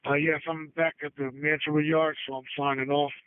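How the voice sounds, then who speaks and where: A man working on a road crew, checking in over two-way radio in a casual, halting way. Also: on the high side, little pitch movement, smooth. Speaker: public works road crew driver. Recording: tinny narrowband radio transmission.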